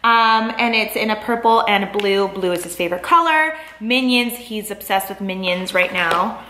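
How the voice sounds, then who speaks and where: A woman's voice: mid-range voice, moderate pace, sharp tone, roomy sound, slight background noise, low-quality recording. A young woman chatting casually in a vlog, bubbly and rambling, with lots of "like" and "um". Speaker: lifestyle vlogger. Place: store aisles, then a home living room.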